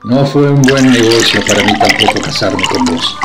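A man's voice: lowish voice, normal speed, lightly acted. An actor playing a coarse, boastful, mocking medieval king in a historical radio drama, with an Argentine accent and a goading manner. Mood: bitter, insulting. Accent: Argentine Spanish. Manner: sneering, goading. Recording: roomy echo, music under the voice.

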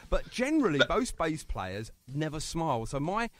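A talkative man hosting a radio show, his voice sitting a bit high and swinging up and down a lot. He jokes, pokes fun at himself and keeps the chat moving. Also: big pitch swings, moderate pace. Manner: chatty, jokey, self-mocking. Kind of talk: casual radio interview.

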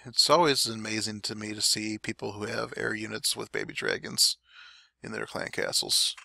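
A man giving relaxed, chatty play-by-play commentary over game replays, his throat a little dry. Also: lowish voice, moderate pace, creaky, no echo. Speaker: clan war recap commentator.

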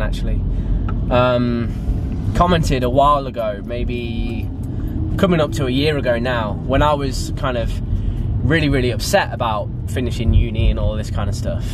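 Chatty young man vlogging from his car. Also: mid-range voice. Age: 20s. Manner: chatty, rambling, animated with hand gestures. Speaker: fitness vlogger. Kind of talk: casual vlog chat. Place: inside a parked car, then a home garage gym. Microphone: camera mic at arm's length. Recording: noisy car interior with traffic outside.

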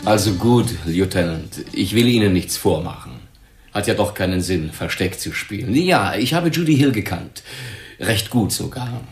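Defensive young businessman played by a man, low-voiced. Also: animated, normal speed, reverberant, faint music behind, lightly acted. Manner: defensive, emphatic. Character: defensive young businessman suspect, about thirty. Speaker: actor in a radio drama. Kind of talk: German crime radio play acting.

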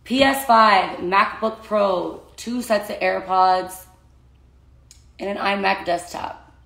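Raspy young woman on a phone livestream. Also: mid-range voice, takes it slowly, a bit bright, reverberant. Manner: chatty, laughing, talking to her dogs between points. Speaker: social media influencer. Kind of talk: casual livestream giveaway chat. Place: small bedroom indoors. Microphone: phone at arm's length.

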